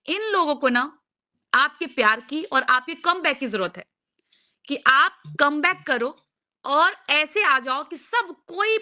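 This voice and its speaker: A woman's voice: sits high, pushed and bright. A young woman speaking in an earnest, insistent, repetitive way, as a host pleading with someone in a casual live chat.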